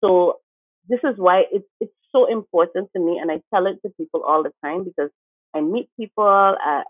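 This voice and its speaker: A woman's voice: on the low side, no echo. Earnest woman from St. Martin on a tinny line. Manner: earnest, emphatic, encouraging. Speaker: guest, teacher. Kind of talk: conversational interview.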